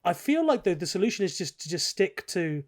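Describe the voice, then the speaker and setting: Male podcast co-host, rapid delivery, somewhat high pitch, casual but analytical, carefully qualifying each argument. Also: big pitch swings. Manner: analytical, explains and qualifies his points. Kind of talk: casual gaming podcast discussion.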